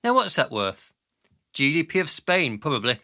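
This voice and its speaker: A man's voice: fairly high pitch, sing-song, moderate pace, bright, forceful tone, heavily acted. An actor playing a brash, condescending male company chairman in a radio drama, with a patronising, blustering manner. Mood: smug. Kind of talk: audio drama acting.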